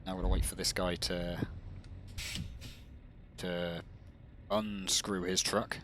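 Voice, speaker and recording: A man's voice: low-pitched, level tone, slow. A young man from England chatting casually while streaming a truck driving game, rambling and reading chat messages aloud. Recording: loud game engine noise behind. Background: truck engine noise from the game.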